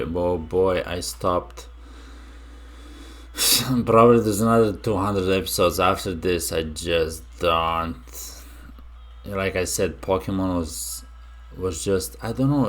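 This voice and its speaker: A young man from Romania hosting a casual reaction video, musing aloud with many fillers and restarts. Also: sits low.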